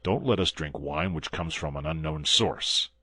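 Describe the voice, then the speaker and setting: A man's voice: extremely low pitch, bright, forceful tone, dry recording, full character voice. A man doing a grave, stern musketeer. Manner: measured and stern.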